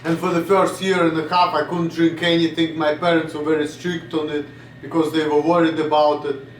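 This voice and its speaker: A man's voice: fairly high pitch, little pitch movement, a little fast, roomy sound, recorded from a distance, a little hiss or hum, rough recording. A man in his late twenties from Russia, with a Russian accent, rambling bluntly in a casual vlog and swearing now and then. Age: 20s. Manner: blunt, swearing, drifts off topic. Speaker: vlogger.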